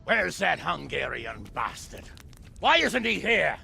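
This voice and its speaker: Gruff older medieval lord for a game: blustering, animated, thick with gravel. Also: male voice, fairly high pitch, takes it slowly, over loud music, heavily acted. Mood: angry, commanding. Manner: blustering, commanding, coarse. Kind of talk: video game cutscene acting.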